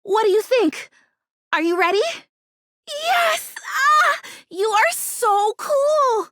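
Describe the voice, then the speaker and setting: A woman voicing an earnest, excitable houseplant who dreams of being real, as a video game character with dramatic, expressive delivery. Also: extremely high pitch, slow pace, dry recording, shouting, clean studio sound, heavily acted. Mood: hopeful, excited.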